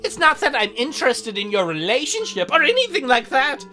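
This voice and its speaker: A woman playing a coy, teasing, bashful young demon girl in a visual novel, with measured delivery. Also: high-pitched, big pitch swings, bright, forceful tone, soft background music, exaggerated voice. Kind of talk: visual novel character acting.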